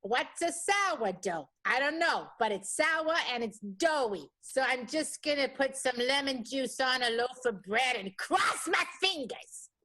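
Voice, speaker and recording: A woman's voice: sits high, animated, unhurried, hardly any pauses, sharp tone, exaggerated voice. A woman playing a breezy, clueless, chirpy showgirl musing to herself in an improvised radio comedy.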